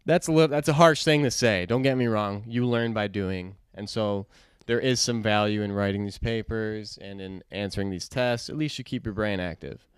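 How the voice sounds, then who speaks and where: A young man in his twenties speaks in a crisp, resonant voice with almost no room sound. He comes across as a podcast co-host in relaxed conversation. He is agreeable yet emphatic, doubling back on phrases to drive them home. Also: lowish voice, normal speed, few pauses, dry recording, studio quality. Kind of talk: casual conversational podcast chat.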